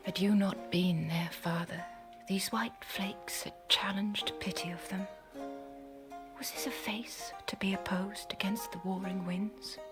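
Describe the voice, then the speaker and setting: An actress playing a tender, devoted princess in a classical audio drama, hushed, breathy and earnest. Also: female voice, medium pitch, fairly even intonation, measured pace, music in the background, close to an everyday voice. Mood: grieving, tender. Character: tender, grieving princess, devoted daughter of a king.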